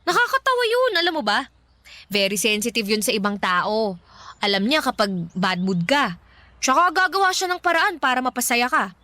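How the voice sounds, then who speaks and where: Pushy young Filipina friend, playful, coaxing, high animated voice, radio drama. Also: female voice, moderate pace, no room sound. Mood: earnest persuading. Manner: giggly, teasing, persuasive.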